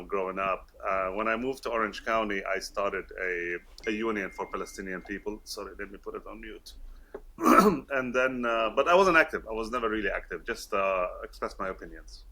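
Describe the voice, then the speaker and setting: A man in his fifties speaks with English learned at British schools, in a low and bright voice. He chats easily as an interview guest, laughing often and filling gaps with fillers and restarts. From Kuwait. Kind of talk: casual interview talk.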